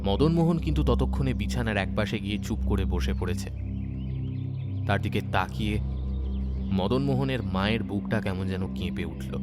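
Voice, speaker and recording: A man narrating a scripted horror story dramatically, with suspenseful, deliberate phrasing. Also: on the low side, expressive, over loud music.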